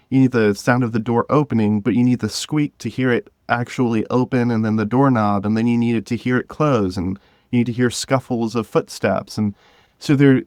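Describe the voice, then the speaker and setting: A man's voice: mid-range voice, no room sound, clean studio sound. A man speaking as a podcast guest, explaining his creative work in a casual, conversational way. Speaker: podcast guest, scriptwriter of an audio drama.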